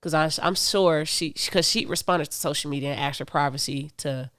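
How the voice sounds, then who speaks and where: A woman's voice: sits low, moderate pace, fairly bright tone, no echo, studio quality. Laughing, slangy podcast woman. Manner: laughs often, curses freely, slangy. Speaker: podcast co-host. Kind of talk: casual chat.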